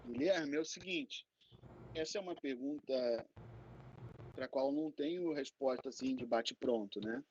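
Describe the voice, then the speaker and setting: A man from Rio, a guest professor answering in a candid, conversational and halting way, often checking for agreement. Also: medium pitch, darkish tone. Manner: candid, halting, frequently seeking agreement with tags. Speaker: invited professor answering questions. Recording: dull lo-fi audio with faint noise.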